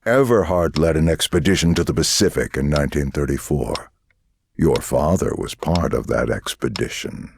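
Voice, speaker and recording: A sinister govt interrogator guy, super low gravelly voice, condescending, game cutscene, bit of noise. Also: animated, darkish tone, full character voice. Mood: cold, insinuating. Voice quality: deep, gravelly. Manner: deliberate, threatening, condescending. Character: menacing male government interrogator, lying and condescending. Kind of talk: dramatic video game acting.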